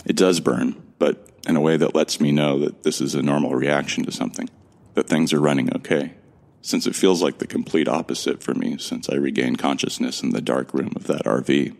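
A man with an extremely deep, gravelly voice narrates a sci-fi audio drama in the first person. He sounds earnest and a little lost as he tells the story. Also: fairly even intonation, fairly quick, a little mellow. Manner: earnest, bewildered. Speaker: narrator and lead actor.

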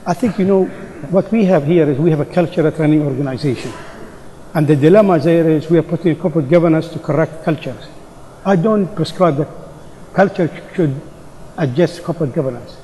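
A man's voice: on the high side, fairly quick, dark tone, long reverb tail, slight background noise, rough recording. A man making an emphatic, insistent point on a business conference panel. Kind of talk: panel discussion. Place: large conference hall stage.

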